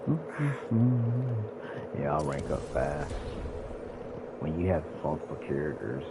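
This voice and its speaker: A man's voice: rumbling low, sing-song, slow pace, very noisy. A man, rambling casually to viewers on a gaming livestream. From South Carolina, United States. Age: late 40s. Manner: rambling, addresses viewers directly. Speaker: live streamer. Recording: music playing behind the voice.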